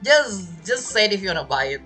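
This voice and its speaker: A woman's voice: medium pitch, big pitch swings, brisk, music in the background. A woman giving casual, amused and teasing commentary while playing a video game, often repeating words hesitantly. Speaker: gamer streamer.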